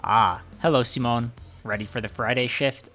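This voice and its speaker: A man's voice: medium pitch, steady tone, moderate pace, fairly bright tone, lightly acted. A man voicing a cheerful, polite bar boss in a game fandub. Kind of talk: visual novel fandub acting. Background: background music.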